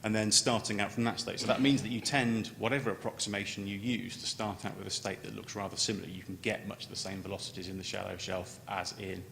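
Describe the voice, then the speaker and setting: A man giving an unscripted technical conference presentation as a scientist, halting and often repeating words as he starts a phrase. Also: flat delivery, gravelly, fairly bright tone. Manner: halting, with stammered word repetitions. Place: large conference room. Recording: echoey hall, noticeable background noise, low rumble.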